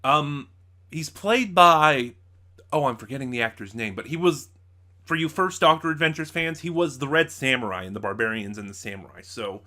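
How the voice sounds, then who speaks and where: A man with a bright, forceful voice at an ordinary male pitch reviews for his viewers in a casual style. He talks as a host thinking aloud, stopping, starting again and rewording his points as he goes. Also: animated. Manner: halting, thinking aloud, often backtracking and rephrasing. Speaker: audio drama reviewer, channel host.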